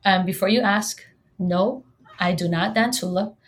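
A woman's voice: medium pitch, a little slow. A woman from Hawaii whose first language is Hawaiian, in her thirties, hosting a solo podcast in a chatty, joking way and talking straight to her listeners. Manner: chatty and humorous, addresses listeners directly. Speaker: podcast host.

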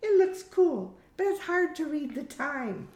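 A woman voicing a young adult daughter, bright and testing, in an audiobook story. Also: sits high, lively intonation, unhurried, pitched above the performer's own voice. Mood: casual, eager. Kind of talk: audiobook character voice. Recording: roomy echo.

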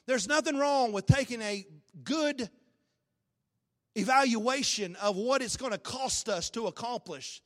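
A man preaching a sermon with a hoarse, raspy voice, swinging between low talk and shouted, sung-out peaks. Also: a little slow. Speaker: pastor. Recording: long hall reverb.

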